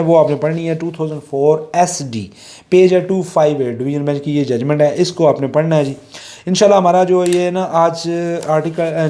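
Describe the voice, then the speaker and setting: Higher-voiced Pakistani man lecturing on law, mellow tone. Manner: mixes Urdu with English legal terms, slows down when reading citations. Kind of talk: informal lecture, reading out English judgments and explaining them.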